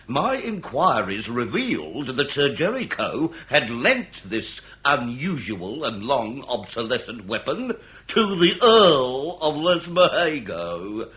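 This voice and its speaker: A man's voice: mid-range voice, sing-song, slow, fairly bright tone, poor audio. An actor playing a theatrical Victorian police inspector in a period comedy radio drama, British, eccentric and grandly savoring his own cleverness. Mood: savoring, dramatic.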